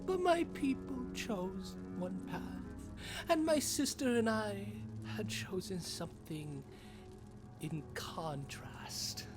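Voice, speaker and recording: A woman voicing a sly creature of the night in a fantasy audio roleplay, theatrical and mockingly reverent, dropping into breathy tones. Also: medium pitch, lively intonation, takes it slowly, over music. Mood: mock reverent.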